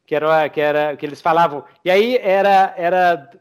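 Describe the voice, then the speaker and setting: Man, Brazilian accent, high pitch, casual podcast host, animated storytelling. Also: moderate pace, smooth. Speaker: podcast host, game master.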